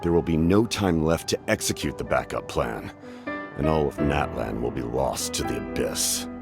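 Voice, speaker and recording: A grave military captain, very low in pitch, commanding yet courteous. The game character speaks in solemn, measured, formal sentences over prominent music. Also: male voice, lively intonation. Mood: grave, ominous. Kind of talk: English game voice acting.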